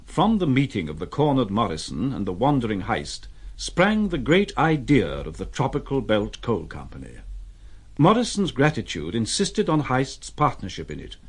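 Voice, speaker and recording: Casting: man as wry literary narrator, husky voice, lively dramatic intonation. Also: medium pitch. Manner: theatrical, wry storyteller.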